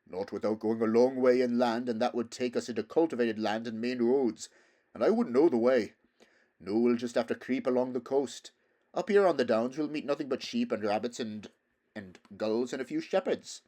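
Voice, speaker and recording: A man's voice: medium pitch, moderate pace, mellow, close to an everyday voice. A man voicing a proud, worldly talking horse in a storybook, with a pompous, emphatic, lecturing manner. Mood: confident. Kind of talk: storybook character voice.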